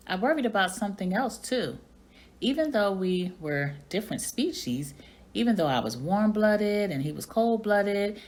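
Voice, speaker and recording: A woman reading a children's story aloud like a teacher, with expressive narration. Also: on the low side.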